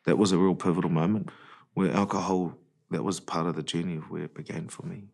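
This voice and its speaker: A man's voice: low-pitched, level tone, fast, a little mellow. A man giving a candid, reflective personal account in a documentary interview. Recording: music playing clearly behind the voice. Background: music.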